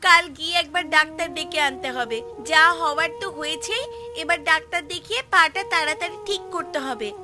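A woman's voice: high voice, normal speed, over music, close to an everyday voice. A woman voicing a scolding but caring village wife in a Bengali cartoon, with a nagging, questioning manner. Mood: concerned, soothing.

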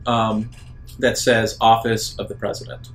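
A man narrating an improvised sci-fi tabletop roleplaying game as game master, describing scenes in a halting, deliberate way. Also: fairly low pitch, completely flat delivery, faint music behind.

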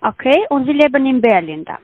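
A woman's voice: high-pitched, big pitch swings, brisk, husky, no echo. A woman calling as a sales agent over the phone, polite, speaking in broken non-native grammar. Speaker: sales caller. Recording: dry dull phone line.

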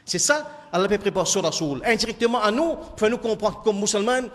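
High-pitched Mauritian preacher in a reverberant hall. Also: male voice, lively intonation, brisk, a bit bright. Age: middle-aged. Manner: emphatic, repeating key phrases. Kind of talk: sermon. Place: indoor mosque hall. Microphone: microphone on a stand. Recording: echoey hall with long reverb.

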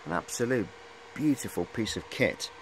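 A man in his forties with a fairly low voice gives a casual, rambling show-and-tell. He is matey and hesitates often as he goes. It is recorded on a handheld camera indoors, with some noise behind him. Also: animated, normal speed. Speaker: hobbyist showing high-voltage equipment. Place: cluttered indoor workshop. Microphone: camera at arm's length.

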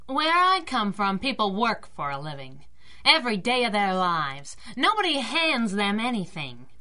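A woman's voice: mid-range voice, big pitch swings, normal speed, bright, forceful tone. An actress playing a brash, feisty young working-class woman driver in a 1930s radio drama, slangy and wisecracking. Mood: defiant, sarcastic.

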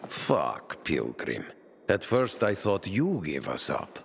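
A rough male survivor from a video game. He speaks slowly and bitterly in a gravelly voice. There is faint noise behind him. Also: low voice, huge pitch swings, full character voice. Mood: weary, bitter.

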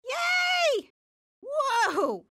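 Shy but upbeat teen superhero girl for a game, short quips, super swingy pitch, slow paced. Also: big pitch swings, sharp tone, no echo, clean studio sound, full character voice. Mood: excited, cheerful. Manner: short exclamations and casual quips. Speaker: video game voice actor. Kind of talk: short video game voice lines.

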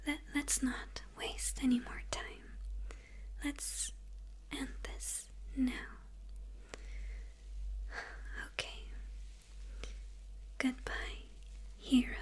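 A woman acting a whispering, slow-talking villainess. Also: high-pitched, level tone, a little mellow, exaggerated voice. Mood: confused, conflicted. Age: young adult. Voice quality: breathy whisper. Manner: slow, hesitant, halting murmur with pauses. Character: smitten female supervillain, menacing yet confused and teasing. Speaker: ASMR roleplay performer. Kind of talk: whispered ASMR roleplay. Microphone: close microphone.

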